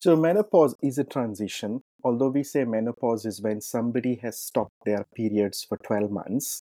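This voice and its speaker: A man from India, a clinician answering interview questions in a measured way, with frequent ums and uhs. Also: medium pitch, warm tone, no echo. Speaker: clinician guest.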